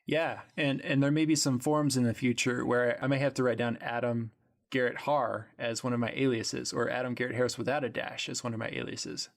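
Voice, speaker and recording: A man hosting a podcast, telling a story in relaxed, wry casual chat. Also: medium pitch, brisk, vocal fry. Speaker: podcast host.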